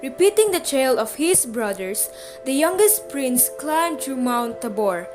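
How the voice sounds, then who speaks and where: A woman with a high voice narrates a radio play in a scripted storytelling style. Music plays clearly behind her. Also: sing-song, a little slow, low-quality recording.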